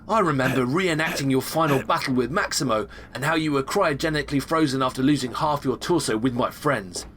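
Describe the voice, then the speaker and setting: Man acting as chummy space agent, mid-pitched. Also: fairly bright tone, slight background noise, natural voice. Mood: eager, admiring. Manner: informal, enthusiastic, instructive. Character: chummy, eager male space agent, player's tutorial partner. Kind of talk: video game tutorial dialogue acting.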